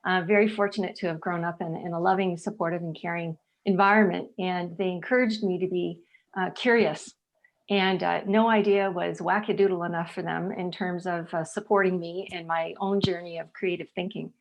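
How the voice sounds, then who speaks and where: A woman's voice: lowish voice, fairly even intonation, normal speed. A woman from Ontario introducing herself on a forum panel in an upbeat, earnest way. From Ontario, Canada.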